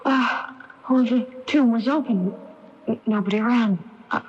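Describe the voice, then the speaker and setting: An actress playing a timid, awestruck and curious woman in an early 1980s radio mystery, speaking haltingly. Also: a little high, noisy background, lightly acted. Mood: flustered. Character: timid, awestruck female intruder with insistent curiosity. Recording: muffled lo-fi 1981 AM radio recording.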